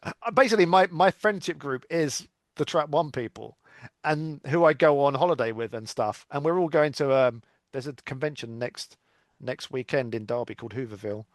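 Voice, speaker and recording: A man's voice: medium pitch, normal speed, no room sound. A man chatting casually as a podcast guest, in a rambling, chatty way. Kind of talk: casual chat.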